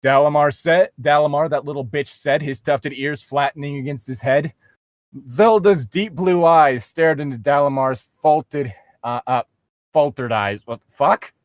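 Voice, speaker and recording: A man with a slightly high voice banters casually as a co-host, sarcastic and sweary. The sound is dry and close. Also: a little slow, dry recording. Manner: sarcastic, foul-mouthed.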